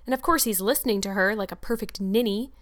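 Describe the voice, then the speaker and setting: A woman's voice: medium pitch, fast talker, natural voice. A stern, brusque older spinster, as a woman reading the book puts her on. She scolds in a clipped, no-nonsense way. It is a storybook reading. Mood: scolding.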